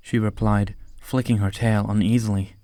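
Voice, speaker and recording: Guy narrating an animal story audiobook, lowish voice, dry close sound. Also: level tone, moderate pace, mellow, dry recording.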